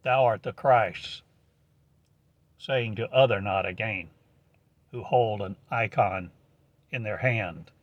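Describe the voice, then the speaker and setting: A man giving a prophetic monologue in slow, halting declamation with archaic phrasing, on a dull lo-fi recording. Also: lowish voice, dry recording.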